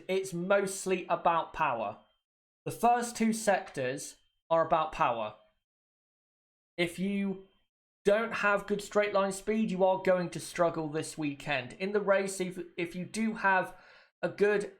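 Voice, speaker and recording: A man's voice: high voice, a bit bright. A man with a bit of a cold, chatting casually to viewers as a livestream host and often repeating his points. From United Kingdom. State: has a cold. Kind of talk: casual watchalong commentary.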